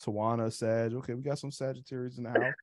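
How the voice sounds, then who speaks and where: A man's voice: mid-range voice, level tone, fast, no echo. A man in his thirties hosting an online meetup from a room at home, talking in a casual, easygoing way with restarts and uhs. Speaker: host.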